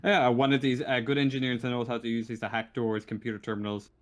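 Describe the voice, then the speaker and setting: A man running a casual online tabletop game as game master, narrating and ruling in a halting, hesitant way. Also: mid-range voice, little pitch movement, dry recording.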